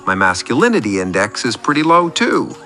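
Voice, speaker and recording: A man performs a fragile, nervous little guy calling into a comedy radio show. His voice is very sharp and tense, swinging animatedly in pitch. He rambles and confesses in a self-deprecating way over faint music. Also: huge pitch swings, fairly quick. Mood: sheepish, confessional. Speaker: caller. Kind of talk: scripted comedy radio monologue.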